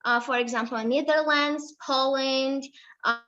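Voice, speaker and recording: Georgian teen girl giving a slide talk in accented English, higher voice, halting with fillers, lo-fi dull audio. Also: steady tone, pushed and bright. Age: late teens. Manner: halting, with many fillers and self-corrections. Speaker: student presenter. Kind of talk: presenting slides about her country.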